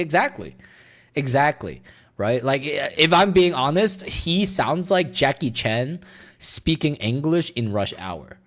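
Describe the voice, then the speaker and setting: A man's voice: on the low side, fairly bright tone. Rambling, foul-mouthed male streamer. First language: Mandarin. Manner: rambling, profane, filler-heavy. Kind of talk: casual reaction stream chat.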